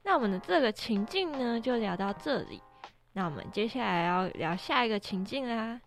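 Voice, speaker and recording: A woman's voice: a little high, lively intonation, moderate pace, soft background music. A young woman co-hosting a podcast in casual conversation. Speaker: podcast co-host.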